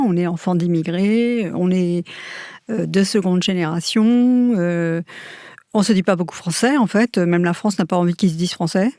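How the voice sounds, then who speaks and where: A middle-aged woman professor explaining at length, pausing and correcting herself as she thinks. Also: medium pitch, darkish tone, dry recording, clean studio sound. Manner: thoughtful, frequent hesitations and self-corrections. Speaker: university professor. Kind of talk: interview answer, lecture-like explanation.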